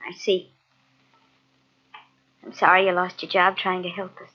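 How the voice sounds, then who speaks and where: An actress playing a scientist's anxious, earnest daughter in an old-time radio drama. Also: female voice, fairly low pitch, completely flat delivery, measured pace, sharp tone. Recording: noisy, dull old cassette recording of radio.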